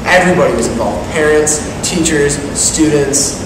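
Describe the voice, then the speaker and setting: A man's voice: on the high side, normal speed. Echoey, distant young man, earnest. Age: teens. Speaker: student government officer being interviewed. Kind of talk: casual interview talk. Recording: echoey hall, distant mic, clearly noisy.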